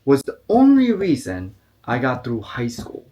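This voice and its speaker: A man with an American accent, co-hosting a podcast and telling a story in a casual, lively way with many fillers. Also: medium pitch, unhurried, a little hiss or hum. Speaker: podcast co-host. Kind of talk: casual chat.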